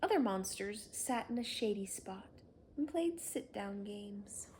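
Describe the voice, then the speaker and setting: A woman's voice: medium pitch, lively intonation, unhurried, a little mellow, a little hiss or hum. A woman reading a children's story aloud, narrating expressively and without hurry. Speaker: storybook reader.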